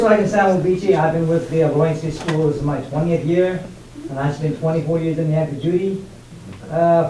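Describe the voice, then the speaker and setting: A man's voice: fairly high pitch, warm tone. A man speaking informally, introducing himself to a gathering. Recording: very echoey room, distant mic, noisy.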